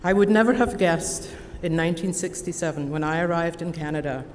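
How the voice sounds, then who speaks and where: A woman in her sixties with an Edinburgh Scottish accent, giving a formal, measured convocation speech from a podium. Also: fairly low pitch. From Scotland. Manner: measured, deliberate, warmly earnest. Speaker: honorary degree recipient giving convocation address. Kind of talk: formal prepared ceremonial speech. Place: large convocation hall. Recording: long hall reverb, faint background noise.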